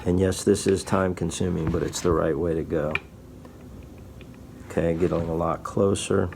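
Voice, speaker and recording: Deep, gravelly man explaining casually. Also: steady tone, moderate pace. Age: 50s. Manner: folksy, patient step-by-step explaining. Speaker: guitar repair technician presenting a how-to. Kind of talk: casual workshop tutorial. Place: small indoor guitar workshop. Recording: faint background room noise.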